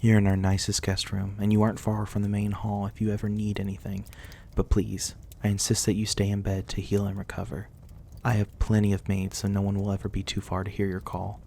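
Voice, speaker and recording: A man playing a courteous, reclusive young-looking vampire in an intimate ASMR roleplay, speaking softly and reassuringly in a politely old-fashioned way. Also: fairly low pitch, monotone, a little fast, gravelly, slight background noise. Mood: soothing.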